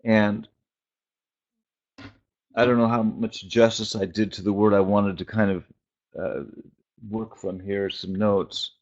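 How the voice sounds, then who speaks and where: A man giving an informal, reflective sermon in short, halting phrases with long pauses between them. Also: on the low side, flat delivery, darkish tone. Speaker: preacher and spiritual teacher. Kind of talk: informal prophetic sermon on a conference call. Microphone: phone conference call. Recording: dull, band-limited conference call audio.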